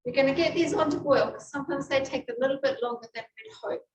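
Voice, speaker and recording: A woman's voice: high-pitched, quick pace, lo-fi sound, a little hiss or hum. A woman with a New Zealand accent teaching a live cooking class, chatting as she explains each step while she cooks in a kitchen. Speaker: cooking instructor. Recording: echoey kitchen, distant mic.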